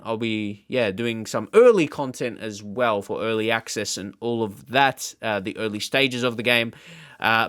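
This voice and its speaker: A man hosting a gaming channel, giving casual, off-the-cuff reaction commentary in a rambling, halting, informal way. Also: mid-range voice, fairly bright tone, smooth, no echo, clean studio sound.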